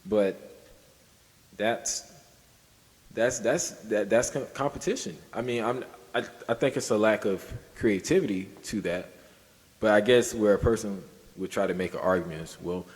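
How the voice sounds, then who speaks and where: A man co-hosting a podcast in casual discussion, halting and circling back over his points. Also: lowish voice, in a large hall. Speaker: podcast co-host.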